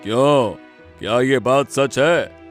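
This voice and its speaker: A man's voice: mid-range voice, slow, soft background music, close to an everyday voice. An actor voicing a stern, proud village headman in a cartoon moral story, speaking in an admonishing, self-important way. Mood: stern questioning.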